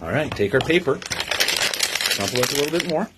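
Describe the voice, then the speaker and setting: A middle-aged man reading aloud to children in an expressive sing-song way, with simple asides to his listeners. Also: mid-range voice, normal speed. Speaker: police lieutenant reading to children. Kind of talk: read-aloud story time with asides to young listeners. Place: small office, indoors. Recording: clear background noise with clicks.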